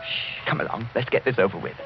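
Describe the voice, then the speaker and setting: A muffled old radio drama with music behind a young British lord. His delivery is eager, uneasy and swings widely in pitch. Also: lively intonation, fast, gravelly. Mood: curious. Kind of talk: 1940s radio acting.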